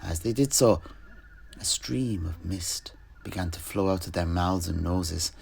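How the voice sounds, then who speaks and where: A man as a gravelly, wistful truth-sayer storyteller. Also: low voice, a little mellow, exaggerated voice. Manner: measured, dramatic, poetic delivery. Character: wistful male alchemist truth-sayer recounting his homeland. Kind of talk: in-character fantasy storytelling.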